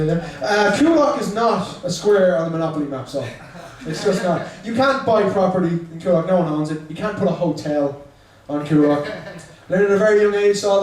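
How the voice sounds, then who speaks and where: A young man with a Dublin accent doing stand-up in a small bar, chatty and self-mocking as he teases the crowd. His voice sits fairly high for a man. It comes from a distance and rings around a very echoey room. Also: a little mellow, slight background noise. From Ireland. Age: young adult. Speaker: stand-up comedian. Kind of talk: stand-up comedy set. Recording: echoey room, mic far off.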